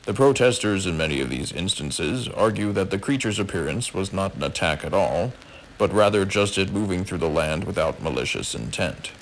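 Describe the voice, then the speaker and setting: A man playing a senior TV news anchor, delivering a formal scripted broadcast in a measured way. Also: deep voice, lively intonation, a little hiss or hum, poor audio.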